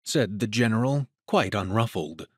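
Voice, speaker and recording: A man's voice: fairly low pitch, animated, normal speed, breathy edge, no echo, clean studio sound. A man narrating a suspense story in an audio drama, reading scripted prose dramatically.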